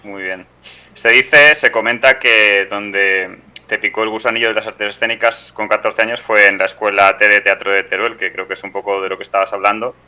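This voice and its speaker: A man's voice: fairly low pitch, fairly even intonation, brisk, sharp tone, clear, resonant tone. A man in his thirties with an accent from Spain, putting prepared, wordy questions to a guest as interviewer, with some hesitations. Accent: Spanish, from Spain. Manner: wordy, formal phrasing with hesitations. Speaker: radio show host, interviewer.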